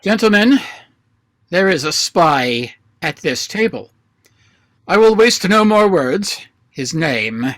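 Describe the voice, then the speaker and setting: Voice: mid-range voice, big pitch swings, slow, long pauses, a bit bright, no room sound. An actor playing imperious, mocking anarchist leader Sunday. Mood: accusing. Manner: pompous, commanding, drily mocking. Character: Sunday, imperious scornful male president of an anarchist council. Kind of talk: radio play read aloud. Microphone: video call.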